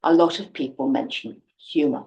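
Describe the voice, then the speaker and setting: A woman teaching an online class, speaking clearly and evenly and putting quiz questions to her students. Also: extremely low pitch, dark tone, highs cut off. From Britain. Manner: clear, measured, poses quiz questions to the viewer. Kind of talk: online class introduction video.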